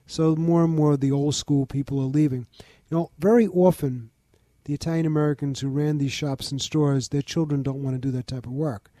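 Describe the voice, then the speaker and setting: Older New man, mellow dry voice. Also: on the high side, fairly even intonation, no room sound. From the Bronx, New York. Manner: measured, informative, lists details. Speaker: museum founder, guest. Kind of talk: interview conversation.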